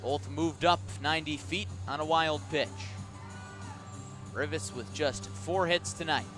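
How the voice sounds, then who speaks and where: A man doing live radio play-by-play of a baseball game, with a measured call that rises sharply on big plays. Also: on the high side, music in the background.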